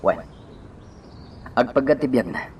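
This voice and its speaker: An actor playing a protective, steady driver and gunman bodyguard in an Ilocano radio drama. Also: mid-range voice, unhurried, a little hiss or hum, close to an everyday voice. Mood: reassuring. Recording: music clearly behind the voice.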